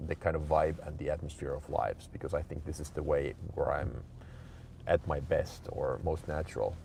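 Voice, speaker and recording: A man's voice: rumbling low, flat delivery, normal speed, gravelly, a little mellow. A Finnish man in his forties, chatting candidly and giving motivational talk on a live stream from his car. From Finland. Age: 40s. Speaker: livestream host. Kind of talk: casual live-stream chat, reading chat aloud. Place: car, driving to his office. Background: vehicle noise.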